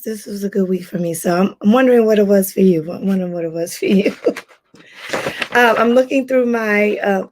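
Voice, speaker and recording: Hoarse woman hosting a chatty livestream. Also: medium pitch, a little mellow. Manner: greets viewers by name, chatty. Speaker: life coach hosting a livestream. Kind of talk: casual livestream chat.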